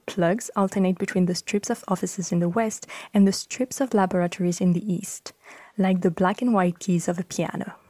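A woman with a mellow, warm voice reads a prepared text aloud at a measured, even pace. She is close to the mic in a dry room with no echo. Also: medium pitch. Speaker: podcast host reading an architect's text. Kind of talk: scripted reading.

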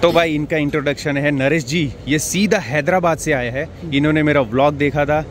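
A young man vlogging with a handheld camera at an outdoor cricket stadium, chatting excitedly to his viewers. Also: on the high side, moderate pace. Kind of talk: casual walking vlog. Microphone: handheld action camera. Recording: noisy outdoor crowd ambience.

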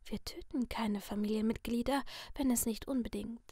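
Woman voicing a masked killer, man, hushed whisper, slow and toying, dry close sound. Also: on the high side, steady tone, husky, no echo. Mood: menacing whisper. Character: sinister masked male killer, softly menacing.

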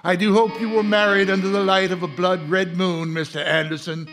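A man's voice: high-pitched, flat delivery, moderate pace, a bit bright, faint music behind. A man hosting a live horror radio show in a florid, hammy, macabre manner. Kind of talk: theatrical horror-host introduction for live old-time radio.

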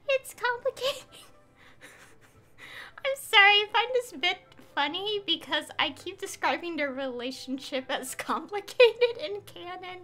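A woman's voice: very high voice, expressive, slow, fairly bright tone. A woman in her twenties giving casual, rambling, giggly commentary as a game streamer.

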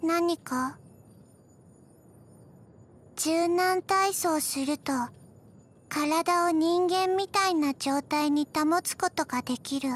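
A tiny zombie girl from a video game. Her voice is high and childlike, and she speaks very slowly in soft, halting pieces. She sounds emotionless yet gentle, as if memory comes to her a bit at a time. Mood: flat, drowsy. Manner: halting, deadpan, soft-spoken with frequent pauses. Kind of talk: scripted video game character voice lines.

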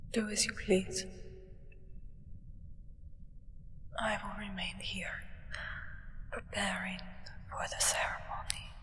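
A woman's voice: medium pitch, fairly bright tone. A young woman voicing a solemn, reverent acolyte of a vampire sovereign in a ceremonial ASMR roleplay. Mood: solemn, hushed. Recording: long reverb.

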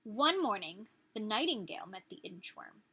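A woman's voice: medium pitch, very animated, moderate pace, sharp tone, slight background noise. A woman in her thirties reading a picture book aloud as a teacher, expressively, putting questions to small children. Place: small living room, indoors.

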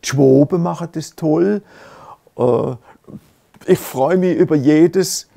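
A man's voice: fairly high pitch, takes it slowly, vocal fry, warm tone. An older man with an Alemannic German accent, speaking in earnest, enthusiastic interview answers with small hesitations, in an indoor study. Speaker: musician interviewed in a portrait. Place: indoor study with bookshelves and a double bass. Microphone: medium close-up camera framing.

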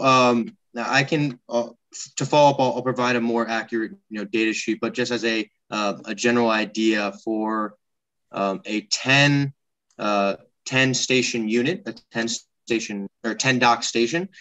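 A man's voice: medium pitch, unhurried, fairly bright tone, poor audio. A man in his twenties explaining a product and answering questions as a presenter in an online meeting. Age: 20s. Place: indoor room at home, on a video call. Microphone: wired earbuds on a video call.

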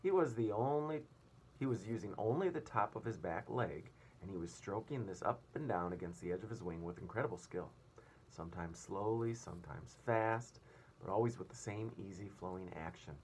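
A man's voice: fairly low pitch, animated, moderate pace, darkish tone. A man in his thirties, a teacher reading a children's story aloud in a small indoor room. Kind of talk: storybook read-aloud narration. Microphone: device at about arm's length. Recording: clear room noise.

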